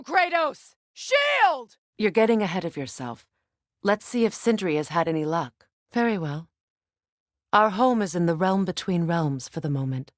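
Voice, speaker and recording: A woman's voice: lowish voice, dry recording, clean studio sound, lightly acted. An actress playing a proud, middle-aged Norse goddess warrior and grieving mother in a video game, with earnest, formal delivery. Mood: urgent battle shouting. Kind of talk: dramatic game dialogue.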